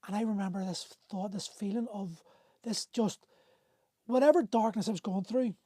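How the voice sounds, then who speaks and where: Man, middle-aged, livestream host, emotional storytelling, frequent swearing, halting restarts, warm mellow voice. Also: sits high, no echo, studio quality. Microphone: phone on a livestream.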